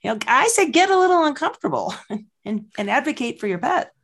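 A woman in her fifties, a pet health expert, talking in an animated, earnest way on a casual podcast. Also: high-pitched, a little fast, vocal fry. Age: 50s. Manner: animated, earnest, swoops up into quoted voices.

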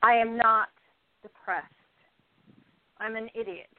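A woman's voice: a little high, steady tone, slow, very noisy. A woman acting the part of a depressed, envious, defensive actress of almost thirty in a radio play. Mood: gloomy, sardonic. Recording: thin phone-like audio.

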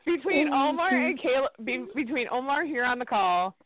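Higher-voiced woman co-host, tinny line. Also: expressive, dry recording. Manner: quick reactions, amused. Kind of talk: casual chat. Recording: tinny call audio, faint noise.

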